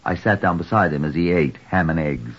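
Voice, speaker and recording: Low-voiced, dry inspector. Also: male voice, fairly quick, fairly bright tone, breathy edge. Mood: dry, measured. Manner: dry, terse, formal when narrating. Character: dry, reserved male police inspector narrating his case. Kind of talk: old-time radio drama, first-person narration. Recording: dull, muffled old radio recording.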